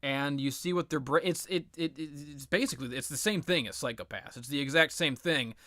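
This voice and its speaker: Bright-voiced man, sarcastic game ranter. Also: fairly high pitch, brisk, no echo, clean studio sound. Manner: sarcastic, profane ranting. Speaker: video game reviewer and host. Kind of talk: casual rambling opinionated game commentary.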